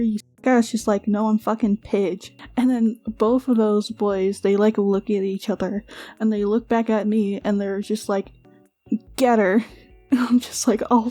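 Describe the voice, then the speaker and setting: A woman's voice: on the high side, mellow. A young woman talking casually over her animation video, chatty and rambling, laughing often between fillers.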